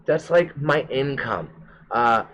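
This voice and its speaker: Thirties male guest from Atlanta, loose, profane storyteller, mid-pitched. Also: takes it slowly. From Atlanta, USA. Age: 30s. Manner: animated storyteller, swears freely, acts out quotes. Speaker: guest comedian and bouncer. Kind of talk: casual chat.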